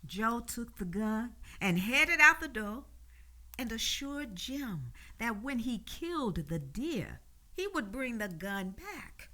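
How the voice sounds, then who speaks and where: A woman tells a grave story for a radio drama, solemn and emphatic. She speaks slowly, and her high, bright voice rises and falls widely. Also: very animated.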